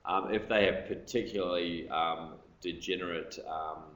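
A male orthopaedic registrar giving a recorded medical lecture over slides, pausing often with ums and uhs and trailing off at the ends of phrases. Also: deep voice, fairly even intonation, a bit bright, dull audio. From Australia. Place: hospital lecture room. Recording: echoey room, faint background hiss.

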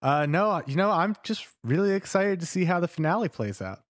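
A man's voice: high voice, lively intonation, brisk, no echo, clean studio sound. A man chatting casually as a podcast co-host.

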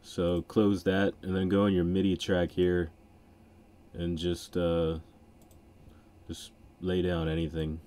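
A man hosting a casual software tutorial in an informal, offhand way. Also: low-pitched, monotone, slow pace. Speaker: music software tutorial host. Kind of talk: casual screen-recording tutorial.

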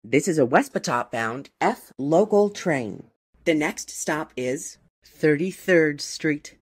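A woman with a low voice reads formal transit announcements. Her delivery is slow and scripted, in separate pieced-together phrases, with strong swings in pitch. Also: lively intonation, husky. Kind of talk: scripted automated train station announcement.

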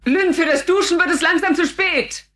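A woman playing a firm, sceptical mother with a dry, sarcastic manner and a husky voice in a German children's audio drama. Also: extremely high pitch, normal speed, a bit bright. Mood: brisk, dry. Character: brisk, sceptical mother of the family.

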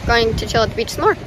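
A woman's voice: fairly high pitch, very noisy. A young woman in her twenties casually narrating a travel vlog into a phone held at arm's length, upbeat and breezy, slipping into giggles. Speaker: vlogger. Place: outdoors on a beach and in a hotel room. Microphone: handheld phone at arm's length.

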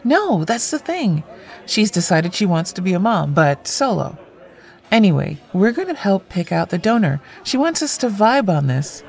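A blunt, playful single woman near forty in an audio drama. Her direct delivery swings animatedly up and down at a medium pitch. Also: big pitch swings, normal speed, creaky, darkish tone. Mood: amused.

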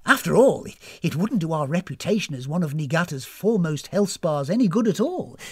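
A woman's voice: deep voice, animated, brisk, slightly rough, no room sound, clean studio sound. A woman voicing a friendly, flustered old lady who runs a spa, in an airy, hesitant, over-polite storybook manner. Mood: hesitant, concerned. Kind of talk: storybook character voice.

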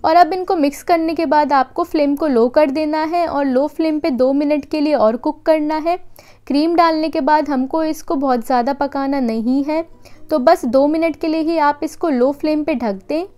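Woman, high voice, calm recipe voice-over, patient step-by-step instructions. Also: normal speed. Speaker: cooking channel host.

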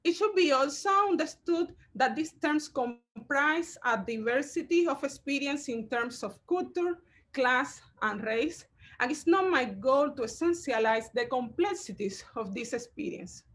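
A woman, a curator giving a measured online lecture over slides, pausing often with fillers. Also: high-pitched, expressive, a bit bright. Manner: measured, halting, with frequent fillers.

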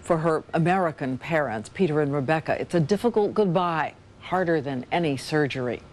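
Low-voiced female news anchor. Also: a little fast, darkish tone. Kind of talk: scripted studio introduction. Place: television studio. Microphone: lapel.

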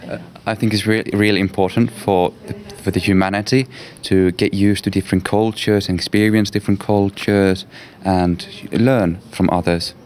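A man's voice: lowish voice, fairly even intonation, moderate pace, hardly any pauses, a little mellow, slight background noise. A young man from Finland whose first language is Swedish, answering interview questions in English earnestly, repeating words for emphasis. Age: young adult. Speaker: exchange student. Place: school classroom.

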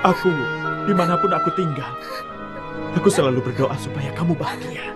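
A man voicing a shy, sincere teenage boy with a halting, hesitant way of talking in a cartoon dub. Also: a little high, over loud music, natural voice. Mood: wistful.

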